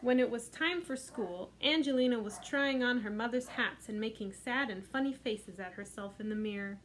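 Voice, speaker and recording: A gentle, expressive middle-aged woman reads a picture book aloud to young children. Her voice sits a little high and lifts in a sing-song way as she tells the tale. A faint hum of noise stays in the background. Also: normal speed, poor audio. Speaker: children's librarian. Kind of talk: storytime read-aloud for children.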